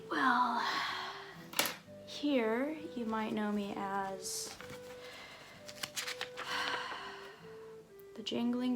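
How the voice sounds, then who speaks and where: Woman playing a slow-talking, flirty circus fool. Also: medium pitch, natural voice. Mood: sheepish, showy. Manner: flirty, awkward, halting, theatrical. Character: flirty, bumbling tiefling circus fool, self-deprecating showoff. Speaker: ASMR roleplay performer. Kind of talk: ASMR character roleplay, addressing the listener.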